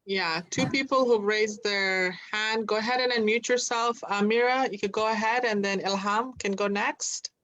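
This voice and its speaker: A woman co-host briskly inviting speakers on a video call. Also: mid-range voice, fairly even intonation, fairly bright tone, dull audio.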